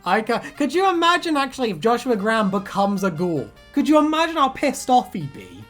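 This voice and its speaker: A woman co-hosting a casual chat about fan theories, animated, giggly and swearing freely. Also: fairly high pitch, fairly quick, faint music behind. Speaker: video co-host.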